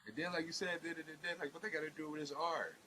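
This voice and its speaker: A man's voice: a little high, fairly even intonation, a crowd in the background. A man interviewing an artist in a casual, agreeable, slangy way. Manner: agreeable, slangy, quick affirmations.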